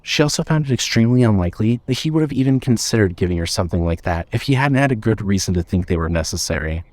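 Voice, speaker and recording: Male narrator, fairly low voice, scripted story narration, dry close sound. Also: lively intonation, fast, darkish tone, no echo.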